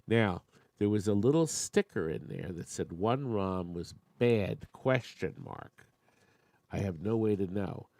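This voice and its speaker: A man's voice: lowish voice, animated, measured pace, gravelly, a little mellow, no room sound. A man hosting a live online auction, chatting with bidders and making joking asides while losing his voice. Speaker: auctioneer and show host.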